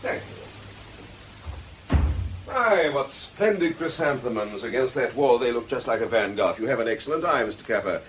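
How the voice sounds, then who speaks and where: An actor playing a troubled, genteel vicar in a radio drama, courteous, educated and earnest. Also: male voice, mid-range voice, animated, moderate pace, close to an everyday voice. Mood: polite, uneasy. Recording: faintly noisy old radio recording.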